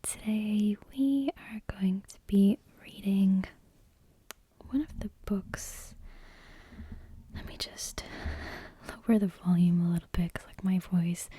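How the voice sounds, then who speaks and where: A woman speaking very softly in a breathy near-whisper close to the microphone, gently hosting bedtime-story ASMR. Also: medium pitch. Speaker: ASMR channel host. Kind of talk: soft-spoken, whispery ASMR, intro and bedtime story reading. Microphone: close ASMR microphone with headphone monitoring.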